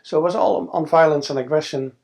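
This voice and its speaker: A man's voice: on the high side, fast talker, gravelly, a little mellow. A man in his sixties from the Netherlands with a Dutch accent, speaking thoughtfully in an oral history interview, with frequent fillers and restarts, recorded on a lapel mic in a studio. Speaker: interviewee, scientist. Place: studio with a backdrop.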